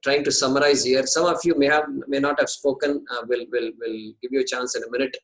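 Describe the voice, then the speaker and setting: A man running an online course orientation from home, talking haltingly with many pauses and restarts over a video call. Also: mid-range voice, steady tone, rough recording. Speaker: course organizer and host. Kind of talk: casual video call talk. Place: home in India.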